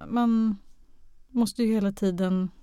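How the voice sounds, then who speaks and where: A woman's voice: mid-range voice, fairly even intonation, warm tone, smooth, dry recording, clean studio sound. A woman being interviewed as a radio drama professional, speaking conversationally and hesitantly as she searches for her words. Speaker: radio drama director or producer, interview guest. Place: close, dry podcast recording.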